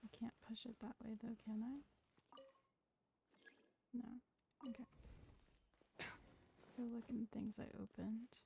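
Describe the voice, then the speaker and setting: A woman's voice: a little high, little pitch movement, measured pace, creaky, pauses often, warm tone, slight background noise, poor audio. A woman giving casual live gameplay commentary, muttering to herself as she puzzles things out. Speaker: game streamer.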